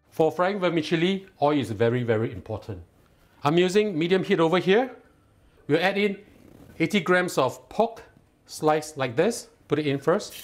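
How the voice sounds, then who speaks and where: Singaporean male cooking host, somewhat high voice, patient step-by-step instruction, keen on the food. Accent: Singaporean English. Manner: instructive, step-by-step, lightly enthusiastic. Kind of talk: cooking tutorial voice-over. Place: home kitchen.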